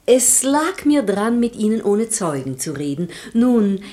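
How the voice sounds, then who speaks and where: Animated, genteel lady of the house. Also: medium pitch, unhurried. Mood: probing. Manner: genteel, politely evasive, theatrical. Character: poised, tactful married lady of the house. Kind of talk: German radio play acting in rhymed verse.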